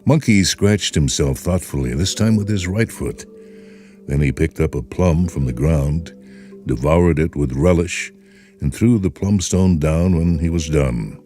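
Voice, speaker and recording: Deep, dark, expressive male story narrator with faint music behind. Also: a little slow. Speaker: host and narrator.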